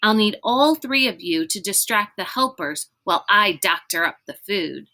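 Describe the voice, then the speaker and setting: A breezy, confident storybook girl, acted out by a woman reader, with a bright voice lifted a little above narration. She is flippant and teasing with her friends. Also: mid-range voice, lively intonation, normal speed. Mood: brisk.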